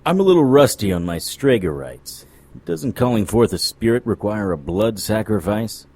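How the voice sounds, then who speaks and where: A man playing a jaded, sardonic occult investigator, dry and deadpan, in a noir audio drama. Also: lowish voice, moderate pace. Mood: needling.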